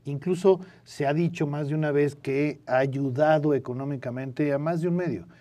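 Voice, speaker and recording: A man's voice: on the high side, normal speed, dark tone. A man with a Mexican accent speaking as a guest in a spontaneous studio discussion, giving opinions and checking in with short tag questions. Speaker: interview guest, news editor.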